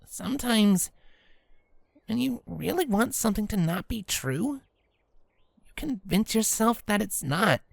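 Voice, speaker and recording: Grown female pegasus, acted by a woman: slightly gravelly, soft and earnest, big-sister protectiveness, dry recording. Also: medium pitch, expressive, unhurried, long pauses, no echo. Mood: hesitant, gentle. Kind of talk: dramatic audio story acting.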